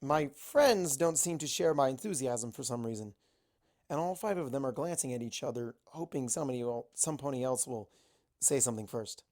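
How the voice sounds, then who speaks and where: A woman's voice: extremely low pitch, animated, normal speed, darkish tone. A woman reading a first-person story aloud as an amateur narrator. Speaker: amateur fan fiction narrator.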